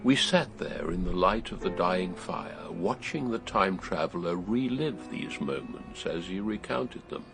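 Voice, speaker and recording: An actor playing a grave, world-weary gentleman thinker in a radio drama, narrating in a solemn, deliberate, philosophical manner. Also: sits low, lively intonation, vocal fry, full character voice. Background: music.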